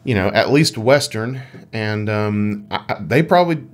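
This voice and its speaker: A man's voice: fairly low pitch, animated, moderate pace. A man co-hosting a gaming podcast, chatting casually with a playfully wry conversational manner. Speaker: podcast co-host.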